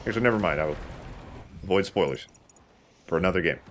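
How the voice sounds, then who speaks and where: A man's voice: low voice, quick pace, a little hiss or hum. A man giving casual commentary over a video game, talking in a conversational let's play style. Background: video game sound.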